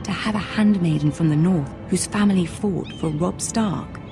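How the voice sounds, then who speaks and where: An actress playing a poised, kindly young noblewoman in a fantasy video game, with an English accent and a courtly, measured manner. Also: on the low side, close to an everyday voice. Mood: guarded concern. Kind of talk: dramatic video game acting. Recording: music behind the voice.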